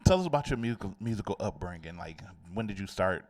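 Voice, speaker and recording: A male host rambles loosely through his questions with lots of fillers. He talks fast in a low voice. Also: lively intonation, a little mellow. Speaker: show host. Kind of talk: casual interview hosting.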